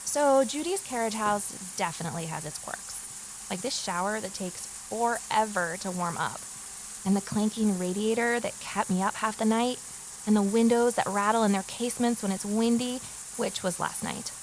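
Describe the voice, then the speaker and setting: A woman's voice: mid-range voice, normal speed, a little hiss or hum, natural voice, poor audio. An actress plays a woman telling her own story in an audio drama. She is candid and wry, with a mid-range voice. She slips in self-deprecating asides as she goes. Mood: wry, settling in. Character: wry, candid woman, former journalist starting over. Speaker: lead character and first-person narrator. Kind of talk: scripted first-person audio drama narration.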